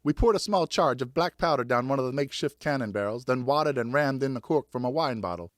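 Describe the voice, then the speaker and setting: A man narrating an audiobook as a dramatic, theatrical storyteller with expressive scripted delivery. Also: mid-range voice, fast talker, dry recording, clean studio sound. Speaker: audiobook narrator, professional voice actor.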